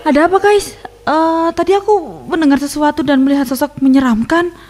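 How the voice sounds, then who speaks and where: Pendaki muda yang ketakutan , diperankan seorang wanita. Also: female voice, high voice, fairly quick, a little mellow, lightly acted. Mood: shaken. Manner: shaken, insisting she is fine. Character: frightened young female hiker. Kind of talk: animated horror story voice acting.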